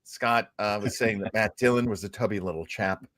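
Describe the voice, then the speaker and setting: A man's voice: on the low side, moderate pace, a bit bright, no echo, studio quality. A man hosting a casual live show, chatting in a jovial, folksy way with exclamations. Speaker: show host.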